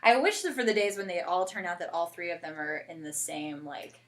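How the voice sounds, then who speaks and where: A woman's voice: fairly low pitch, a little fast. A woman hosting a podcast in casual, informal conversation, chatty and enthusiastic. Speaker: podcast host.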